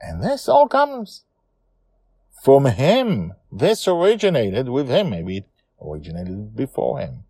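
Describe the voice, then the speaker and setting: A man's voice: mid-range voice, takes it slowly, creaky, husky, no echo. A man hosting a story podcast, talking informally and emphatically about his own serial. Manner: animated, emphatic, self-correcting.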